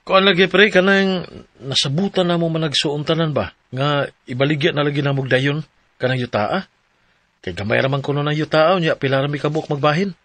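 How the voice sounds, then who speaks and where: A man's voice: on the high side, brisk, a bit bright, dry recording. A man with a Cebuano Filipino accent acting a hesitant friend selling land in a radio drama. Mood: hesitant, explaining. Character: husband's longtime friend, hesitant land seller.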